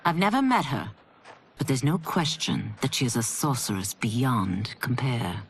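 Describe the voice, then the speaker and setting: An actress playing a repentant demon warrior in a video game, solemn and resolute. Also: female voice, very deep voice, animated, measured pace, background noise, full character voice. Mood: solemn, earnest.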